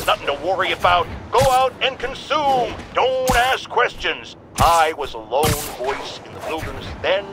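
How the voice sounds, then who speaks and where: High-voiced, pompous radio ranter. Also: male voice. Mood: sarcastic, indignant. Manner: pompous, declamatory, sarcastic. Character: blustery, smug male radio talk-show ranter. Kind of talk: opinion radio monologue.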